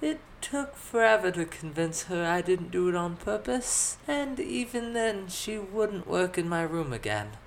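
A narrator voicing a young noblewoman in a fantasy story, pompous but unsure of herself, with a drawn-out delivery. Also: mid-range voice, sing-song, creaky, slight background noise, pitched above the performer's own voice, rough recording. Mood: sheepish.

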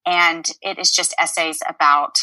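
Woman, 40s, from Memphis, interview guest, low bright voice, dry sound. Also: fairly even intonation, measured pace, no room sound, poor audio. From Memphis, Tennessee. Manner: chatty, hesitant with many ums. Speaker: guest, writer. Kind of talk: interview conversation. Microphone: video call.

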